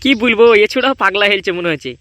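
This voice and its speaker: A man acting as a hot-headed cartoon boy. He talks extremely fast in a high voice, scolding and defiant. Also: lively intonation, sharp tone, no echo. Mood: irritated, mocking. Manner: defiant, scolding, rapid-fire. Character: hot-headed older boy guarding a secret paper. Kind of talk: amateur comedy cartoon dub.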